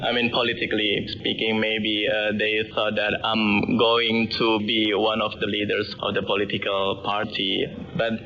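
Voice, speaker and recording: A young man from Indonesia with an Indonesian accent answering interview questions haltingly, repeating phrases, on a noisy shortwave radio capture. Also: lowish voice, completely flat delivery, muffled recording, crowd noise. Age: young adult. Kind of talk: unscripted interview answers in second-language English.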